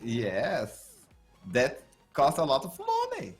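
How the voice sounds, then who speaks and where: Male co-host, casual, quips in with short lines, very lively pitch. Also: takes it slowly, faint music behind. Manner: chimes in briefly, joking. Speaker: live stream co-host. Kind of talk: casual game tutorial chat.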